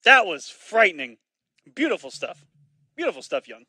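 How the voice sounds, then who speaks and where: Excitable high bright-voiced male caster. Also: moderate pace, breathy edge, no echo. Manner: excitable, jumps to loud outbursts on big plays. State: slightly raspy from long casting. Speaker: esports commentator. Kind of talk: live fighting-game play-by-play.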